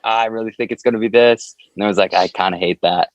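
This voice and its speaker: A man with a fairly low voice tells stories in a loose, informal way, as a band member being interviewed. The talk is casual chat. The sound is dry and close, a little dull on top. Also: fairly even intonation, quick pace, no echo.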